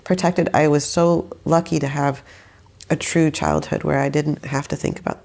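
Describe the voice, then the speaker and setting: A young woman with a low, gravelly voice tells her story in an easy, unscripted way. She wanders through her thoughts, circling back with "like" and "I don't know" as she goes. Her tone stays relaxed and conversational. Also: normal speed. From Saudi Arabia. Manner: rambling, hesitant, many fillers and restarts. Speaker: guest, musician. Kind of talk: casual interview conversation.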